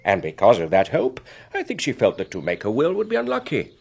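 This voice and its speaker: An actor playing a courteous, unflappable family solicitor in a British radio drama, with an English accent and a formal, explanatory manner. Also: male voice, on the low side, huge pitch swings, brisk, creaky, breathy edge, full character voice. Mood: measured, matter-of-fact.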